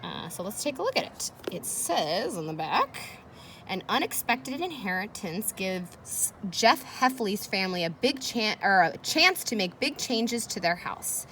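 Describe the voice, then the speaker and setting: A woman's voice: medium pitch, very animated, measured pace, pushed and bright. A woman teacher reading a children's chapter book aloud with casual, encouraging asides and questions to her listeners, with background noise. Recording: noisy background, kids playing nearby. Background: ambient noise of children playing.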